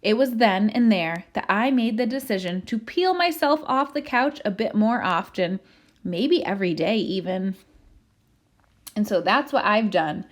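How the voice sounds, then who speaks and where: A woman voicing a cheerful, smug, comfort-loving potato in an expressive, playfully dramatic picture book read-aloud. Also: a little high. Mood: reflective, content.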